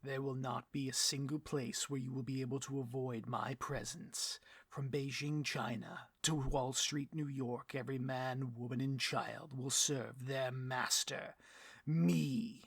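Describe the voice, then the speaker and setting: Pompous, husky-voiced supervillain boss. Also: male voice, medium pitch, steady tone, a little slow, fairly bright tone, dry recording, studio quality, heavily acted. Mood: grandiose menace. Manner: grandiose, melodramatic, petulant speechifying. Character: pompous, bloviating, vain, easily riled supervillain boss. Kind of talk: comedic audio roleplay, theatrical monologue.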